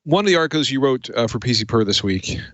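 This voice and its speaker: A man hosting a tech show in a casual, chatty style with a playful, wry and expressive manner. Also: medium pitch, fast talker, dry recording, dull audio.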